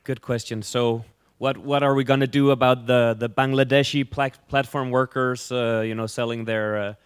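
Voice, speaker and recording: A man moderating a conference panel, brisk and conversational. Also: mid-range voice, steady tone, clean tone, no echo, clean studio sound. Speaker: session moderator.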